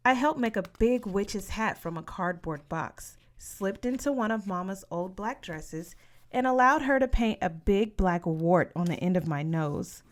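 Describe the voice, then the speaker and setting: A woman reading a children's novel aloud as an expressive audiobook narrator. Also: mid-range voice, a little slow. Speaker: audiobook reader.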